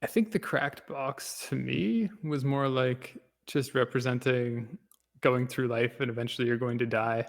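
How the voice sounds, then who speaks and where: Gravelly man chatting, with a long reverb. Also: medium pitch, measured pace. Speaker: podcast co-host. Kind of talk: casual discussion, reading out comments.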